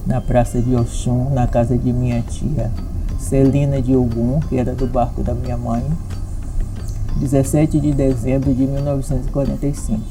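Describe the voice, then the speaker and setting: A woman in her seventies from Salvador, a candomblé equede, speaking calmly and reverently in a documentary interview. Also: extremely low pitch, moderate pace, rough recording. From Salvador, Brazil. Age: 70s. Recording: music underneath. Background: music.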